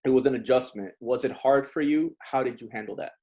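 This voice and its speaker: A man's voice: medium pitch, brisk, dark tone. A man hosting an interview in a loose, friendly way, calling his guest bro and man. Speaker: host. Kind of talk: casual interview chat.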